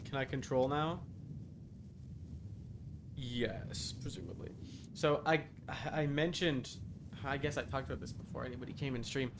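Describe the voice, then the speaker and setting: A man's voice: mid-range voice, normal speed, long pauses, background noise. A man livestreaming a video game with casual, rambling, easily flustered chat. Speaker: game streamer. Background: game music.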